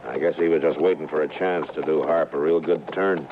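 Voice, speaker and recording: Very deep-voiced western marshal, noisy old radio. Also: male voice, fairly even intonation, fairly quick. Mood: wry, steady. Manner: terse, dry, authoritative. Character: steady, stern frontier marshal in a western. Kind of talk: 1950s radio western acting and narration. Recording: old radio recording, narrow band, loud noise.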